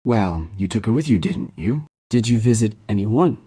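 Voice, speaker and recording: An actor playing a patient, probing police detective in an audio drama, gently insistent in manner. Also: male voice, fairly low pitch, lively intonation, breathy edge, no room sound, clean studio sound. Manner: measured, gently insistent questioning.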